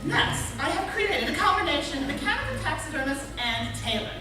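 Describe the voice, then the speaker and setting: A brisk young mad inventor heroine played by a woman with a high, animated voice. She is emphatic and confident in radio play style, recorded in a very echoey hall. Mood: excited, proud. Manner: emphatic, brisk, matter-of-fact. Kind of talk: comic live radio play acting. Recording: echoey hall, distant microphone.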